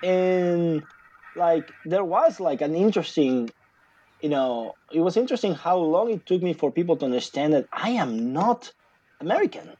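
A man's voice: a little high, lively intonation, moderate pace, warm tone, no room sound, lo-fi sound. A man from Argentina, a guest in a casual interview, talking in a rambling way with many fillers and restarts. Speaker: guest author, sociologist.

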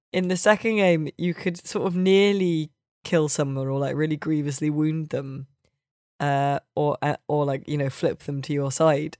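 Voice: mid-range voice, a little mellow, no echo. Dry-recorded voice, sweary casual games chat. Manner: sweary, wry and joking. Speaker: podcast host.

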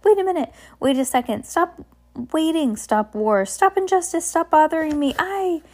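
A woman's voice: high-pitched, animated, a little mellow, pitched above the performer's own voice. A woman voicing a cheerful, scattered, enormous man in a storybook, who rattles off contradicting commands. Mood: bossy, scatterbrained. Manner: rapid self-contradicting commands.

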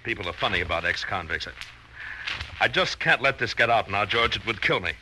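A man's voice: fairly low pitch, lively intonation, normal speed, close to an everyday voice. An actor playing a troubled, determined middle-aged ex-convict businessman in an earnest, emphatic 1940s radio drama style. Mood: worried, pleading. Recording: noisy, crackly old radio recording.

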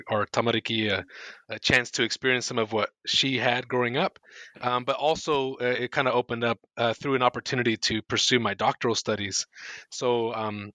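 A man from the United States talks easily as a guest, self-deprecating and quick to laugh. His voice is mid-pitched but bright and forward. The recording is dry and close. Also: dry recording. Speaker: guest, filmmaker and lecturer. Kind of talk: casual interview conversation.

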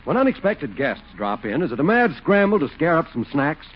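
A man's voice: fairly high pitch, very animated, brisk. A man announcing in polished 1940s radio style, reading scripted copy with emphatic salesmanship. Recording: muffled vintage radio recording. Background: music.

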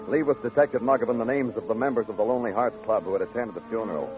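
An actor playing a world-weary police detective, speaking in clipped sentences in an old radio crime drama. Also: male voice, mid-range voice, racing, close to an everyday voice. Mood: brooding narration. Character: low-key, world-weary police detective who narrates his cases. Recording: dull old radio recording, music behind.

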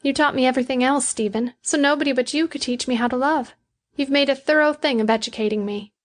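Lady doing a spirited lovestruck young girl for an audiobook, high voice, stubborn and emphatic. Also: quick pace, lightly acted. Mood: playful, tender. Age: young adult. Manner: emphatic, earnest. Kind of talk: audiobook character dialogue.

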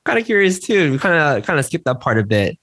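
A man hosting a podcast, asking questions in a relaxed, chatty way with lots of "like" and "man". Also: a little high, fast talker, no echo, clean studio sound. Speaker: podcast host. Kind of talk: casual podcast interview.

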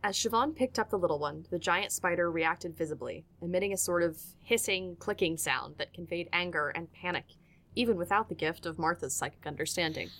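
A woman's voice: fairly low pitch, a little fast, a bit bright. A woman reading scripted narration for an audiodrama as its storyteller.

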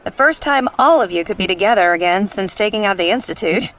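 A woman's voice: medium pitch, lively intonation, a little fast, fairly bright tone, lightly acted, poor audio. A woman playing a chatty, caring detective's secretary in a radio drama. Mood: chatty, nudging.

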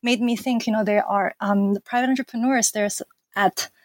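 A woman's voice: mid-range voice, fairly even intonation, normal speed, no room sound. Woman from China, academic guest, thoughtful with fillers, dry close sound. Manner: thoughtful, many fillers and restarts. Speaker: author and political scientist. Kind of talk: academic interview answer.

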